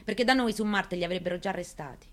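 A woman acts out an elderly mother in mourning, in a slightly low voice. She delivers a theatrical memoir monologue, bitter and defiant, pressing her points with rhetorical questions like someone demanding answers. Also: fast, a bit bright, close to an everyday voice. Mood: indignant. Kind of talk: dramatic stage reading of a memoir, monologue.

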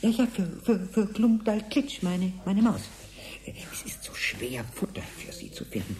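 A man's voice: sits high, sing-song, moderate pace, darkish tone. An older man playing a forgetful, confused ancient man in a radio play, stammering, muttering and repeating himself. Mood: doting, fretful. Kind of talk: science fiction radio play acting.

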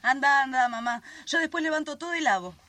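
Cheeky, animated Argentine woman. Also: high voice, quick pace. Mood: breezy, upbeat. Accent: Rioplatense Argentine. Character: brisk, cheeky, confident grown daughter and sister. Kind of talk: radio drama acting.

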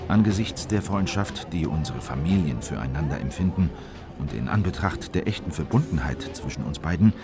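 Deep-voiced earnest male conspirator narrator. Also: expressive, fairly quick, music in the background. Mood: earnest, measured. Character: Bolivian man recounting a clandestine political operation. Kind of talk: scripted radio drama narration.

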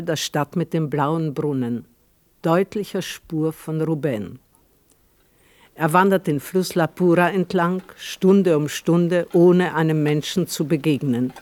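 A man narrating a radio play in a measured, literary manner. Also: on the high side, no room sound.

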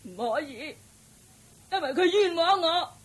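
A woman's voice: extremely high pitch, expressive, measured pace. An actress playing an aggrieved, vengeful old mother-in-law in a Hong Kong Cantonese radio drama, melodramatic and wailing, repeating her phrases. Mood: tearful, indignant.

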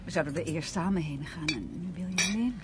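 An actress playing a gentle, attentive, inquisitive companion in a radio play, speaking softly and naturally with a questioning manner. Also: female voice, fairly low pitch, fairly quick, heavy background noise, natural voice. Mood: concerned, curious.

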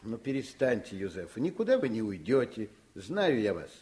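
An actor playing a principled, gruffly affectionate middle-aged father, patient, teasing and explanatory, in a Soviet radio play. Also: on the low side, sing-song, normal speed, warm tone. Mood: calming. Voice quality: husky.

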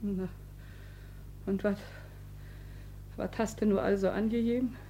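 An actress playing a domineering, anxious working-class wife in a stage drama, with colloquial speech that swings between wheedling and threats. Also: female voice, medium pitch, fairly even intonation, measured pace, warm tone, a little hiss or hum, close to an everyday voice. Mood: alarmed. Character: domineering, anxious working-class married woman, coaxing yet menacing. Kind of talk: theatrical naturalist stage drama acting.

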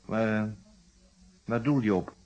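An actor playing an inspector's curious friend and confidant in a radio detective play, asking hesitant questions in Netherlands Dutch. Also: male voice, on the low side, takes it slowly, darkish tone. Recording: dull, muffled radio drama recording.

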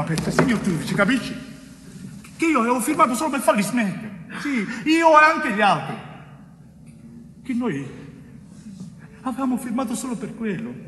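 An actor playing a young, wrongly accused man in a stage monologue, pleading desperately and loudly in agitated, piled-up clauses. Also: extremely high pitch, exaggerated voice. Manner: agitated, insistent, loud, breathless piling up of clauses. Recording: roomy stage recording, distant mic, faint noise.

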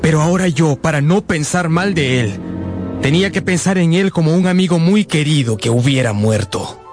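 Actor as earnest, high-voiced young man. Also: lively intonation, moderate pace, close to an everyday voice. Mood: wistful. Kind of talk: Spanish-language radio drama acting. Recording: music behind the voice.

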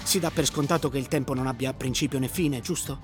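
An actor playing a calmly evasive, patronizing sleeping-car conductor in a theatrical radio drama. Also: male voice, mid-range voice, fast, natural voice. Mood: smug, lecturing. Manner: patronizing, rhetorical, didactic. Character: condescending male sleeping-car conductor, calm and slyly evasive. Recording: voice over prominent music bed. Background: music.

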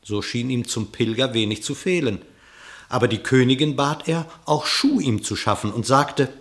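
A man narrating an epic verse fable for a radio play in an expressive, literary storytelling manner. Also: medium pitch, moderate pace.

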